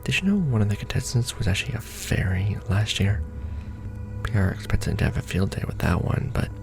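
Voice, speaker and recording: A man playing a jaded, sardonic manager at a secret society in an ASMR roleplay, speaking in a dry, world-weary deadpan. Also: lowish voice, a little fast, over music. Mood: gossipy, deadpan. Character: jaded, sardonic male Illuminati manager briefing a new recruit.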